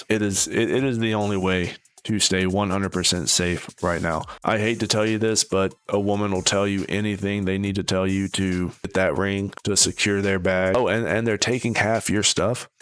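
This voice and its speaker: A man's voice: low-pitched, a bit bright, clean tone. A man giving casual reaction commentary in a blunt, scornful manner. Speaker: online commentator.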